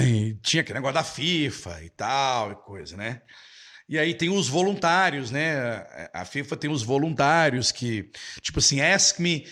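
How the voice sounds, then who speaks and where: A man's voice: mid-range voice, lively intonation, a bit bright. A middle-aged Brazilian man with a Brazilian Portuguese accent, chatting casually as an English teacher who answers viewers' comments. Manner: chatty, with many restarts and quick asides.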